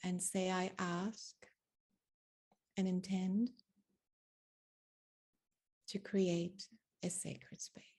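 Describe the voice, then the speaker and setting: A woman leading a guided meditation, giving spoken instructions in deliberate short phrases with long pauses between them. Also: fairly low pitch, flat delivery, dark tone.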